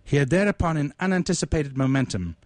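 A man reading his own literary short story aloud in a deliberate, measured, ornate manner. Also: fairly high pitch, lively intonation, a little mellow, dry recording.

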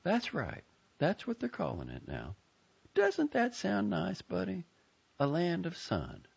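A worried young woman who fusses over her little brother, her voice pitched high and strained, as a deep-voiced man puts her on. The tone swoops about a lot, with a gravelly edge under it. It sounds like a muffled, lo-fi audiobook recording. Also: wildly swooping pitch, slow pace, darkish tone, full character voice. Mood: wistful. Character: young woman, anxious protective older sister.

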